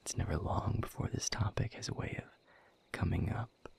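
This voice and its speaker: A man playing a weary, bitter exiled demon in an audio drama, speaking in a gravelly, breathy half-whisper with a slow, sardonic delivery. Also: darkish tone, no room sound, lightly acted. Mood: brooding bitterness. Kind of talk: dramatic audio roleplay monologue to the listener.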